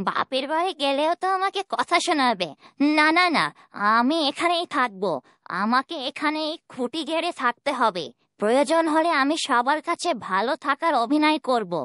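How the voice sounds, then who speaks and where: Woman playing a high-voiced, whiny scheming cartoon wife. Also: fairly bright tone, dry recording, studio quality. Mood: indignant, plotting. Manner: whiny, wheedling, melodramatic. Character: scheming, self-pitying younger wife in a family. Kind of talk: children's fairytale cartoon dubbing.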